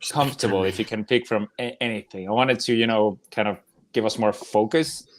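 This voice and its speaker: A man's voice: on the low side, fairly even intonation, brisk, slight background noise. A man co-hosting a podcast in a casual, conversational way. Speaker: podcast co-host. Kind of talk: casual chat.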